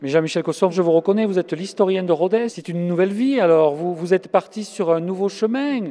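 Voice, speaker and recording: A middle-aged man interviews someone with playful, leading questions, his voice dark and warm but pitched a little high. He talks through a face mask into a handheld mic. The long reverb of a stone chapel hangs behind him. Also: a little fast. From France. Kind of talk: casual interview. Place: large former chapel, indoors.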